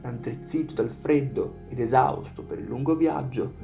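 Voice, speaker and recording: A storyteller narrates a written fairy tale in a mid-pitched voice with a gravelly creak. Instrumental music plays underneath throughout. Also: lively intonation, normal speed, warm tone.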